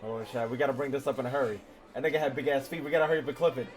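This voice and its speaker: A man's voice: medium pitch, steady tone, rapid-fire. An American man doing casual live-stream game commentary in a slangy, profane, teasing way. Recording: faint game music behind.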